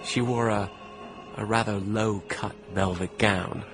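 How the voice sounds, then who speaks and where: Low-voiced, measured young gentleman. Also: fairly even intonation. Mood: wistful, reflective. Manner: measured, formal, refined. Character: genteel young New York gentleman, wistful narrator in love. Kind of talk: audiobook drama narration and dialogue. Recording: music behind the voice.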